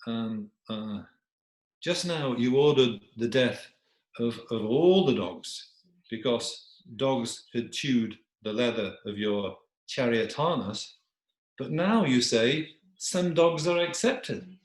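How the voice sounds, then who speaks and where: A man's voice: medium pitch, animated, slow pace, echoey room, rough recording. A man voicing a wise, bold storybook dog who courteously and formally admonishes a king. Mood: solemn reproach.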